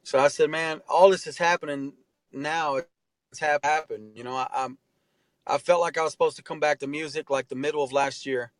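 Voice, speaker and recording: A man's voice: on the high side, fairly even intonation, brisk, no room sound. A man around forty, a guest in an interview, telling his story in an earnest, heartfelt way and often saying "man". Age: 40s. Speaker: interview guest, artist and tattoo artist. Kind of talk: candid conversational interview.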